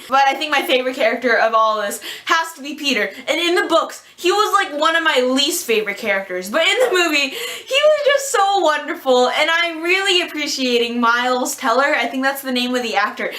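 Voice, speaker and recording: A young woman from the United States giving a chatty, animated movie review in a casual vlog. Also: sits high, echoey room. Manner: chatty, animated, slips into character voices.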